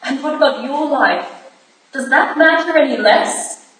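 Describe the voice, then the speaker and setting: Woman acting indignant young society wife, Victorian stage drama, high pitch, echoey distant recording. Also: unhurried, a bit bright. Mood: challenging. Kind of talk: staged reading of a period stage play. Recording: echoey hall, distant mic, hissy noise.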